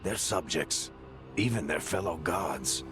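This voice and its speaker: Weathered fantasy storyteller, very low, unhurried, grave, measured, dramatic, prominent score behind. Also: male voice, music in the background. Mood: grave, foreboding. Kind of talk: scripted narration.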